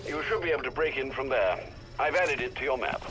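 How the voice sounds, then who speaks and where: An actor playing a courteous, helpful butler in a video game, formal and measured, speaking over a radio link. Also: male voice, medium pitch, animated, background noise. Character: courteous, helpful male butler advising his employer.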